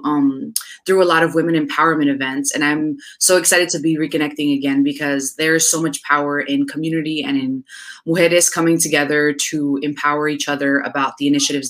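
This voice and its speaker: A young woman from New Jersey speaks as an interview guest. Her voice is notably low for a woman. She tells her story in an articulate, self-assured manner. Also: fairly bright tone. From New Jersey, United States. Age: young adult. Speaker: guest, digital strategist. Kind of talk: interview answers.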